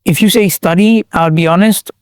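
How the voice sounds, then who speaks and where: Young guy, highish voice, laid back slangy chat, dry close sound. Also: normal speed, clean tone, no echo. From Bangladesh. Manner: relaxed slangy talk full of fillers and restarts. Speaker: guest. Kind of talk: casual chat.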